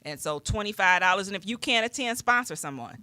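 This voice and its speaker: A woman's voice: medium pitch, lively intonation, fairly quick, pushed and bright, dry recording. A woman talking casually as a guest on a radio chat show, stretching words out for emphasis. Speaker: guest and podcast host.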